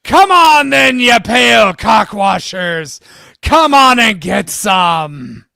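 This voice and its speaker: Woman doing a furious brawling warrior, shouting taunts. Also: on the high side, very animated, slow, vocal fry, dry recording, studio quality, exaggerated voice. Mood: furious taunting. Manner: shouting, defiant. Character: furious brawling male warrior bellowing crude battle taunts.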